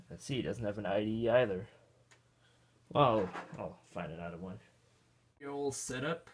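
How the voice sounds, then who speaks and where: Man, from Austria, lowish voice, casual rambling, thinking aloud while tinkering, faint room hum. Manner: rambling, self-talk while tinkering, chuckles often. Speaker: vintage computer hobbyist. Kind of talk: casual hands-on tech vlog.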